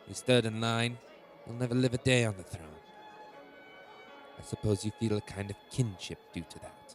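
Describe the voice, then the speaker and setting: A man's voice: lowish voice, normal speed, lightly acted. A man acting as a cold, domineering middle-aged king in a fantasy audio drama, commanding and contemptuous. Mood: dismissive. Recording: music behind the voice.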